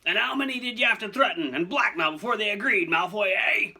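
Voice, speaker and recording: A huge shaggy fellow from a storybook. He is loyal but anxious and hot-tempered, blurting and stammering with forceful, raised delivery. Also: on the high side, sing-song, brisk, pitched above the performer's own voice, full character voice. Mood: furious.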